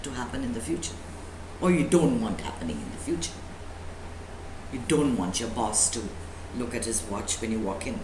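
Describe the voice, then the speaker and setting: A woman speaking as a spiritual teacher, giving an emphatic motivational talk full of rhetorical questions and repetition. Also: a bass voice. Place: indoor room at a live talk. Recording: echoey room with faint background noise.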